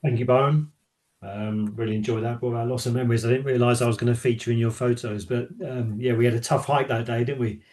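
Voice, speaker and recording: A man's voice: medium pitch, fairly even intonation, fast talker, darkish tone. A man hosting an online travel talk in a casual, polite, slightly hesitant conversational manner. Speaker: host of online travel roadshow. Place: indoors on a video call.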